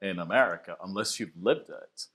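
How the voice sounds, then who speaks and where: A man's voice: deep voice, a little fast, bright, forceful tone. A man from Sweden with a mid-Atlantic accent that has hints of Swedish, talking thoughtfully as a podcast guest and often repeating his first words. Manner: thoughtful, repeats words when starting sentences. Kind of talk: reflective conversational interview.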